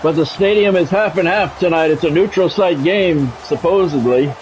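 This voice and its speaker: A man's voice: fairly high pitch, moderate pace, a little mellow, soft background music, low-quality recording. A middle-aged man doing live sports game commentary like a radio sportscaster, chatting with viewers and repeating phrases for emphasis. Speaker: game streamer and play-by-play announcer.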